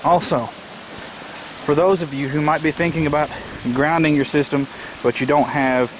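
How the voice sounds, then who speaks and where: A man's voice: fairly high pitch, fairly even intonation, normal speed. A man in his thirties giving a casual, practical how-to explanation in a folksy way, recorded outdoors on a camera mic. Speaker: amateur radio hobbyist and vlogger. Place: outdoors in a rural yard. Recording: outdoor camera audio, loud background noise. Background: loud outdoor ambient noise.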